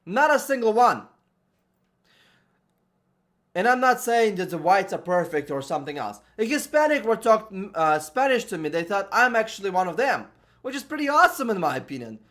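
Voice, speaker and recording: High-voiced man. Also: sing-song, moderate pace, a bit bright. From Uzbekistan. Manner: emphatic, ranting, checks in with "okay?". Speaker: vlogger. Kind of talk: opinion monologue to camera. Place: room at home with an air conditioner running.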